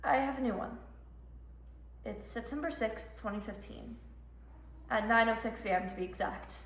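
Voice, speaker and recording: Woman performing a desperate mother, high, echoey. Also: natural voice. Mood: wistful, reflective. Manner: emphatic, halting delivery with heavy pauses. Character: frantic mother of a middle schooler, desperate and grieving. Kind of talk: dramatic interpretation monologue. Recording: echoey room, voice ringing off walls.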